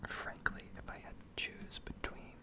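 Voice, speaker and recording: A woman whispering an unscripted ASMR ramble in a reflective, hesitant way with trailing pauses. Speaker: ASMR video creator rambling over gameplay.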